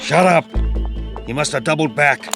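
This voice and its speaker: A man's voice: fairly high pitch, normal speed, close to an everyday voice. A man acting as a menacing hunter in a horror audio drama, calling out in agitation. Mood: agitated, menacing. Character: menacing man hunting someone in dark woods. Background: music.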